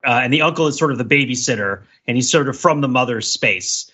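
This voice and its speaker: A man co-hosting a casual film discussion podcast, thinking aloud in a halting, husky voice. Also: mid-range voice, pushed and bright. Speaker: podcast co-host. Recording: slightly dull, lo-fi audio.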